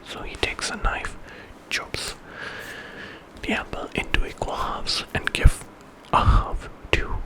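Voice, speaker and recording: A man telling a bedtime story in ASMR style, in a breathy whisper and an informal, conversational way. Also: low-quality recording. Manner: informal retelling with quoted dialogue.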